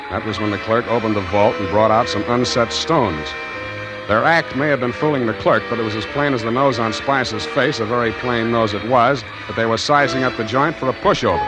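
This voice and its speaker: A man doing a cool hard-boiled detective, low voice, dry wisecracks, old radio broadcast with music. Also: lightly acted, rough recording. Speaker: private detective and narrator. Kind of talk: 1940s radio drama acting.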